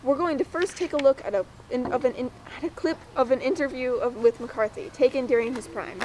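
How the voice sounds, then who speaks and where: A woman's voice: sits high, fairly quick, noisy background. A young woman reading scripted mock documentary narration as presenter and interviewer.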